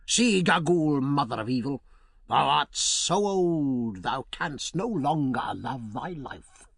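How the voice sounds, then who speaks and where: A man's voice: a little high, gravelly, fairly bright tone, husky, dry recording, studio quality, full character voice. A man voicing a stern, proud king who speaks in a solemn, archaic, commanding way.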